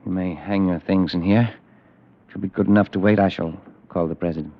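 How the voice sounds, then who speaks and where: A suave, sinister recruiter, voiced by a man speaks in smooth, persuasive, ominous tones. His voice is on the low side. The old radio recording sounds muffled and dull. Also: fairly even intonation, a little fast, noisy background. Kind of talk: old-time radio drama.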